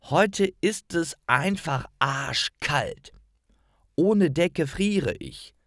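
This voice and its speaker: A man's voice: on the high side, expressive, very slow, fairly bright tone, no room sound, studio quality, pitched above the performer's own voice, exaggerated voice. A man voicing a young woman, a cozy, playful girlfriend who feels the cold, in a language lesson story. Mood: whiny, cold.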